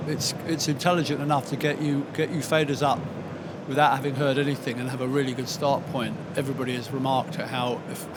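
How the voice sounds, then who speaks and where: A man speaking as an expert panelist at a live trade show seminar, giving an explanatory answer. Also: medium pitch. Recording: clear background noise.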